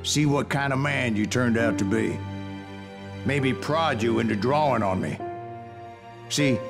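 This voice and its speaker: An actor playing a weathered older gunslinger with an American Western drawl, telling his tales of revenge in a wry, deliberate way for a video game. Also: mid-range voice. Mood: grim, menacing. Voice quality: gravelly. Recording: music behind the voice.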